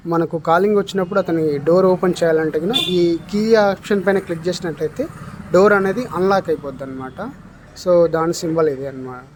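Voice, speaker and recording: A man's voice: on the high side, a little mellow. A man giving an unscripted, explanatory product demonstration, mixing in English technical terms. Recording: clear background noise, room ambience.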